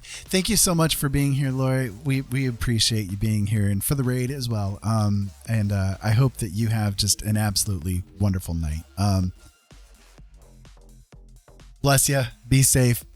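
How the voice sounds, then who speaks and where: A man livestreaming in a casual, rambling and gentle way. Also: mid-range voice, moderate pace. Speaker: live streamer and artist. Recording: music playing behind the voice. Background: music.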